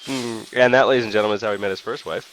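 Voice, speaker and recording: A young man playing a game with a friend, firing off dry, deadpan comebacks in casual joking chat. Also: on the low side, very fast. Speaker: co-player. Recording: noisy home stream.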